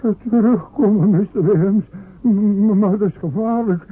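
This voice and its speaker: A man's voice: sits high, moderate pace, slight background noise, lightly acted. A man playing a terrified stammering villager. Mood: frightened. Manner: stammering, trembling with fear. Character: frightened stammering young male villager. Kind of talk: radio drama acting. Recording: tinny lo-fi old radio recording.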